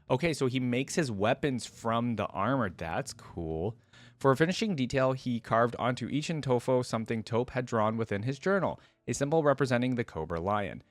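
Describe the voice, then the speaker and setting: A man at an average pitch reads a story aloud for a reaction video, then drifts into relaxed, filler-laden remarks of his own. The recording is close and dry, with no room around the voice. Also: no echo. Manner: casual, filler-heavy commentary between read passages. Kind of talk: reading lore aloud and commenting.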